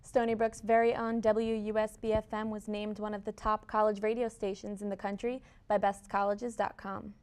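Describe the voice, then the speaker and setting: A woman's voice: medium pitch, flat delivery, normal speed, few pauses, a little mellow, clear, resonant tone. Young woman reading a scripted newscast. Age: young adult. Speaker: student news anchor and reporter.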